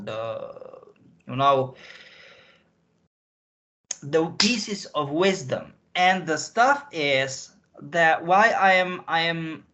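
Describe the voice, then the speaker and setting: Slow, halting man with a Slovak accent. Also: high voice, a bit bright, lo-fi sound. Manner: halting, repeats and reformulates phrases while searching for words. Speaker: interview guest. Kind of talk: rambling conversational interview answers.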